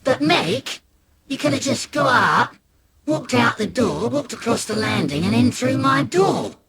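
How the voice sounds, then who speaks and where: A man's voice: very high-pitched, expressive, unhurried, creaky, over a noisy crowd, pitched above the performer's own voice, full character voice. A British man voicing a teasing, giggly young brother in an oral childhood story. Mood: incredulous.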